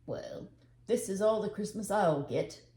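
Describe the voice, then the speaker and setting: A woman voicing a gruff, humble old male road sweeper in a storybook reading. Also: medium pitch, measured pace, dark tone, reverberant, natural voice. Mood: glum. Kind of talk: storybook character voice.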